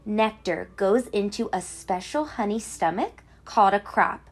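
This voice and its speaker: A woman reads a picture book for young children in a very animated voice that swoops up and down. She takes her time, explaining each point with clear emphasis like a kindergarten teacher. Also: medium pitch, a little slow. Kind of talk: expressive children's read-aloud storytelling.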